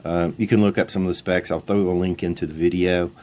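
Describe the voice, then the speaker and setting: A man's voice: sits low, level tone, quick pace, darkish tone. A man hosting a video, explaining gear in an informal, folksy, plain-spoken way. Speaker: YouTube channel host. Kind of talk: casual product overview, explaining radio gear.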